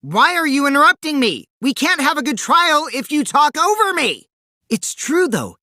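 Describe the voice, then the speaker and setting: A man's voice: very high-pitched, big pitch swings, normal speed, sharp tone, no echo, studio quality, exaggerated voice. An actor voicing a mischievous, taunting teenage boy who lies for fun in a video game, theatrical and teasing, swinging from sly to gleeful. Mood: excited, insistent. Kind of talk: animated video game voice acting.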